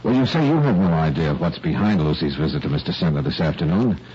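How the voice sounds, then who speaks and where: An actor playing a shrewd, easygoing private detective in a 1940s radio drama, informal and probing. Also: male voice, extremely low pitch, lively intonation, fast, dark tone. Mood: probing, casual. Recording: muffled, hissy vintage radio recording.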